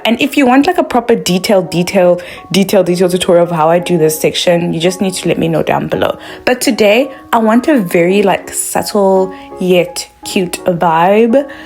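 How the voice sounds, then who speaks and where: A woman's voice: on the low side, moderate pace, few pauses, darkish tone, soft background music. A young woman from South Africa, a makeup vlogger talking through a tutorial in a bubbly, chatty way, full of "like" fillers.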